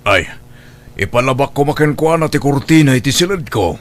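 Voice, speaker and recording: A man's voice: mid-range voice, expressive, fairly quick, lightly acted. A man playing an older, watchful father who is fond of his daughter, in a radio drama. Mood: fond, calm.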